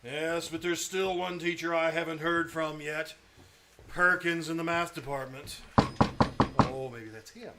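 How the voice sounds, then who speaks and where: A man's voice: a little high, steady tone, unhurried, bright, forceful tone. A man playing a blustering, grasping older school principal in an old-time radio comedy, with a pompous, theatrical delivery. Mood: effusive. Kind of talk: old-time radio comedy acting before a live audience. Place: small-town theater stage. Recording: live stage recording with noise.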